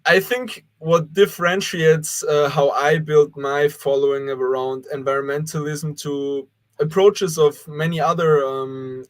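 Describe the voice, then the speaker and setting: A man's voice: fairly high pitch, steady tone, a little slow. A young man from Austria, a guest organizer on a live online panel, answering in a rambling way with many fillers. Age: young adult. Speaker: guest, youth cleanup organizer. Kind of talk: casual livestream panel answer.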